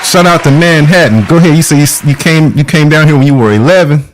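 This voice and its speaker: A man hosting a live music interview in slangy, hyped casual chat, full of shout-outs. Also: on the high side, quick pace, a faint crowd, slight background noise, poor audio.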